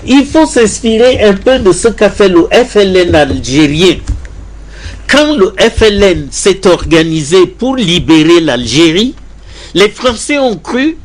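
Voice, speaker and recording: A man with a fairly high, very lively voice comments on politics as a debate panelist. He is deliberate, restating points for emphasis. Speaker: panelist in a pan-African debate.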